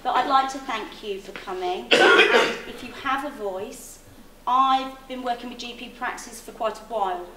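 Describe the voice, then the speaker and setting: A woman's voice: fairly high pitch, normal speed. A woman on a meeting panel answering a complaint in a conciliatory, hesitant way, with frequent ums. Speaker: health service official on a meeting panel. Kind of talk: measured panel response. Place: public meeting hall. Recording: echoey hall, distant mic, faint noise.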